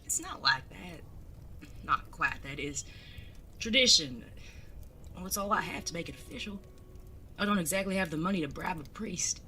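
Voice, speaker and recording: A woman's voice: fairly low pitch, animated, normal speed, pauses often, bright, forceful tone, slight background noise. A woman playing an anxious, earnest bandit son in an audio drama, speaking politely and formally with some hesitation.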